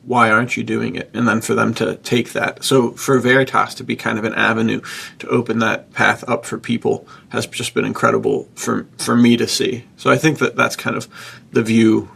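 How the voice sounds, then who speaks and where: Male podcast co-host, very creaky and rough-edged, informal and reflective, thinking out loud. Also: medium pitch, little pitch movement, normal speed. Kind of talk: casual chat, reflective.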